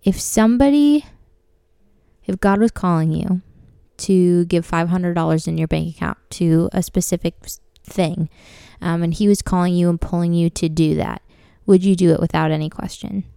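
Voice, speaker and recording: Young woman podcast host, raspy dry throat, easygoing chatter, dry close-miked sound. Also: fairly low pitch, normal speed, dark tone, no echo. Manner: chatty, laughs often, frequent ums. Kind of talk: casual faith-based podcast monologue.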